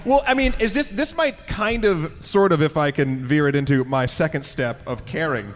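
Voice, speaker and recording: A man's voice: fairly high pitch, moderate pace, slight background noise. A man bantering and discussing casually as a panelist in front of a live audience. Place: large indoor convention panel room. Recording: reverberant convention hall.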